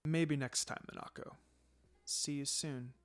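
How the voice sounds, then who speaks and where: A man voicing a quiet, tender young male ninja in a story, speaking in a soft, understated way. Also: fairly high pitch, steady tone, unhurried, close to an everyday voice. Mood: wistful.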